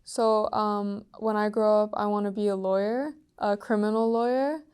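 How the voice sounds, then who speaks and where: A teenage girl from Iran answering interview questions in an earnest, soft-spoken way, with frequent "like" and "um" fillers. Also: medium pitch, fairly even intonation, moderate pace, clear, resonant tone. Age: teens.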